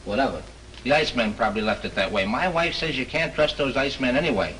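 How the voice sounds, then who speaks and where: A slow-witted studio cop, played by a man, drawls folksy slang, his pitch bouncing up and down. He sounds mid-toned and easygoing. A faint hiss of an old film track sits behind him. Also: expressive, a bit bright, lightly acted, low-quality recording. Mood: dim. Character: dim, good-natured male studio cop sidekick. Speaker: studio police chief. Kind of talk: 1930s film acting.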